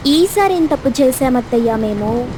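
A woman's voice: sits high, animated, moderate pace, background noise. A woman voicing a naive, silly young daughter-in-law with an earnest manner in a dramatized cartoon story. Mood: puzzled.

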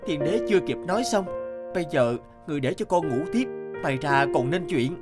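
A man voicing a meek but crafty young palace servant in an animated folk tale, deferential, hesitant and pleading, with music behind. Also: fairly high pitch, a little fast, lightly acted. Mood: deferential, cautious.

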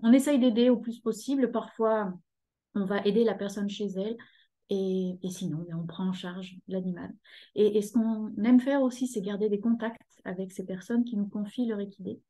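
A woman's voice: medium pitch, fairly even intonation, normal speed, dark tone. A woman in her fifties talking at length on a video call from her living room, hesitating often. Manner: talkative, hesitant with many fillers. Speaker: guest, horse rescue association member. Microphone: laptop webcam on video call.

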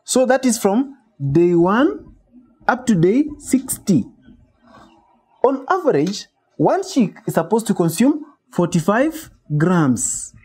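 A male instructor gives a step-by-step lesson. His voice is mellow and a little husky, and the pitch moves up and down a lot. He poses questions and then answers them himself. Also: very animated, slow. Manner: didactic, asks and answers own questions. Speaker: poultry farming instructor. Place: small shed with metal walls.

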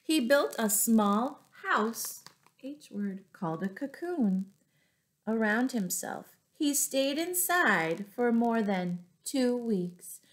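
A middle-aged woman reading a picture book aloud to young children, with deliberate, expressive phrasing and little asides to her listeners. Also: on the high side. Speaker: children's storyteller, teacher. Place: small furnished room at home.

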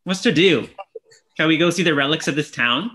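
Young guy as polite eager traveller in shakespeare, bright highish voice, earnest. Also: a little fast, a little hiss or hum. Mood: grateful. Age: young adult. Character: grateful, eager young gentleman traveller in stage comedy. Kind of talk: Shakespeare reading over video call. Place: indoor room, video call.

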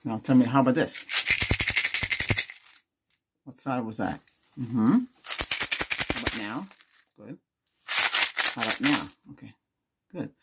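A man's voice: medium pitch, slow, long pauses, very noisy. An older man doing an ASMR doctor roleplay, giving short instructions and asking brief questions as in an exam. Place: small indoor room with cloth backdrop.

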